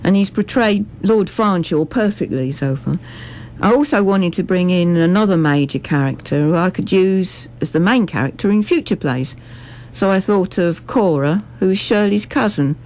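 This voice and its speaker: A woman's voice: lowish voice, expressive, normal speed, a little mellow. A woman giving articulate, explanatory answers as a radio interview guest. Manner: articulate, full sentences.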